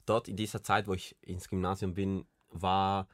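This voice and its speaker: Deep-voiced young man from Colombia. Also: level tone, moderate pace, dry recording, studio quality. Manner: rambling, many restarts and fillers. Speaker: podcast co-host. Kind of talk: casual chat.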